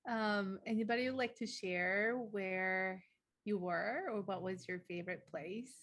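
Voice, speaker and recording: A woman leading an informal group listening session, conversational and halting as she searches for words. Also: mid-range voice, fairly bright tone. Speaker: facilitator of a deep listening session.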